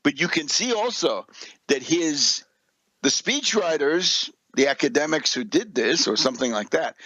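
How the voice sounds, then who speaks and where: Scornful high-pitched male political commentator. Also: fairly bright tone, dry recording, lo-fi sound. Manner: scornful, sardonic; emphatic, repeats points with rhetorical questions. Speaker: political commentator and radio show host. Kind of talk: unscripted political commentary monologue.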